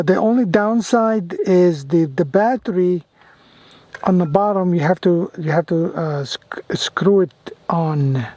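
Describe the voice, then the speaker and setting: High-pitched man, enthusiastic radio hobbyist vlogging. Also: dark tone. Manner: enthusiastic, repeats phrases. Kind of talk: casual product demo and radio operating.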